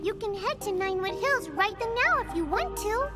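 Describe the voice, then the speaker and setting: A tiny chirpy creature squeaks her helpful lines in a very high, bouncing voice that garbles her words. Game music plays clearly under her. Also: lively intonation, moderate pace. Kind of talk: video game voice acting.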